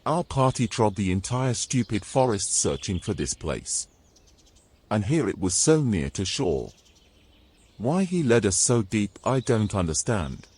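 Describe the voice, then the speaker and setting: Man acting a sardonic, grumbling adventurer, swooping low voice. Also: very animated, unhurried, darkish tone, breathy edge, exaggerated voice. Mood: impatient. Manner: sardonic, haughty, formal phrasing. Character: grumbling, exasperated young adventurer carrying a mermaid. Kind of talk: audio drama acting.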